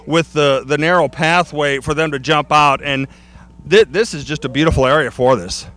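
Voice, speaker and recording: A man's voice: a little high, moderate pace, sharp tone. A man hosting outdoors on a wooded trail, chatting and joking with guests. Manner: jokey, chatty. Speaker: host. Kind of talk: casual chat.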